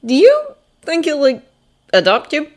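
Woman doing an awestruck, high-voiced boy. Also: sing-song. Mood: admiring, curious. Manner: breathy awe, slangy, drawn-out exclamations. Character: awestruck boy, admiring excitable best friend.

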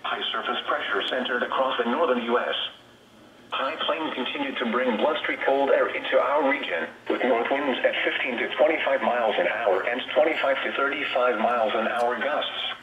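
Distant radio weather announcer. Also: male voice, medium pitch, moderate pace, crowd noise. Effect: radio speaker. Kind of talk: scripted weather forecast. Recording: dull, roomy radio playback from afar.

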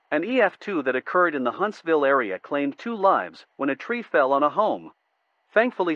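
Man, scripted radio newscast, animated pitch, dull lo-fi sound. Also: dry recording. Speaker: radio news reader. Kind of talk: scripted news bulletin.

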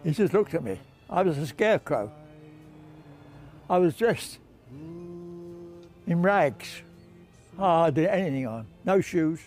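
A man's voice: on the high side, very animated, a little slow, pauses often, a little mellow, soft background music. A man in his nineties, a war veteran, recalling his past for an interview in short phrases, often repeating himself. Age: 90s. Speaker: war veteran, former prisoner of war. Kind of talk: interview reminiscence. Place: outdoors on a bench by a car park.

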